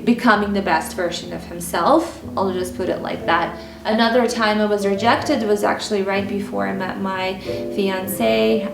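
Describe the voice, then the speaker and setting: A woman's voice: mid-range voice, vocal fry, far from the mic. Candid woman vlogger in a roomy echo. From Ukraine. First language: Russian. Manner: candid, conversational, with many fillers. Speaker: style and mindset vlogger. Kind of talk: personal storytelling to camera. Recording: roomy echo with music bed.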